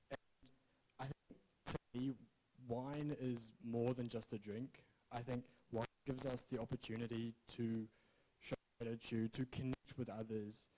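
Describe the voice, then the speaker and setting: A man's voice: medium pitch, dark tone, low-quality recording. A young man with a New Zealand accent giving a student presentation, hesitant with many ums. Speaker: graphic design student presenter. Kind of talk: prepared presentation.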